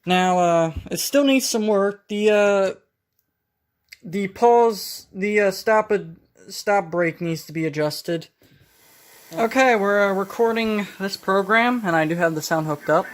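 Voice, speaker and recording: A woman talking casually through a hands-on repair demonstration, halting and thinking aloud as she goes. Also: mid-range voice. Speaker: vintage electronics repair hobbyist. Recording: noisy home video, steady background noise. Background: clear background noise.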